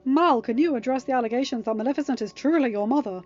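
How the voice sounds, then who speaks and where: A woman's voice: sits high, fast talker, darkish tone, muffled recording, soft background music, natural voice. A woman voicing pushy, intrusive tabloid reporters in an audiobook, insistent and probing. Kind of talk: dramatized audiobook narration.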